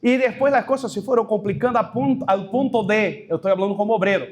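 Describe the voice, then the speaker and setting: Man, Brazilian-accented Spanish, high pitch, halting storytelling pastor giving a testimony. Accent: Brazilian Portuguese. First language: Portuguese. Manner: storytelling, halting with restarts and mixed-in Portuguese words. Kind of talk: testimony at a leadership workshop.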